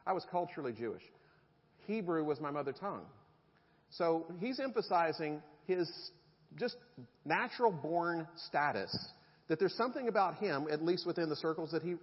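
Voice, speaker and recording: A man's voice: on the high side, husky. A middle-aged man, preaching a conversational sermon with jokes to his congregation. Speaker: pastor. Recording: dull sound in a reverberant hall.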